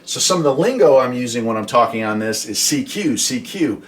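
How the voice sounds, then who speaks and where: An American man with a medium-pitched voice hosts a casual hobby explainer. He talks in a folksy, conversational manner, putting questions to the listener as he goes. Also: lively intonation, a little fast. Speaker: host, hobbyist explaining CB radio.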